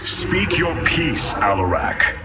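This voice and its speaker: An actor playing a noble, earnest alien warrior leader in a video game, with grave, stately oratory. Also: male voice, a little high, big pitch swings, slow, loud music behind the voice. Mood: stern. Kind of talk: video game cinematic acting.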